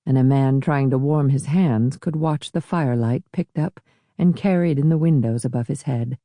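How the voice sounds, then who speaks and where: A woman's voice: a bass voice, normal speed, mellow, no room sound. A woman reading scripted literary narration for an audiobook.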